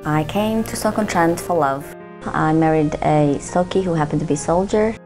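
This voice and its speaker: A woman's voice: low-pitched, normal speed, over music. A woman from Bosnia and Herzegovina telling, with some hesitation, how she came to live in the city. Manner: earnest, reflective, with hesitations. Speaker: interviewee. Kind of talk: personal testimony.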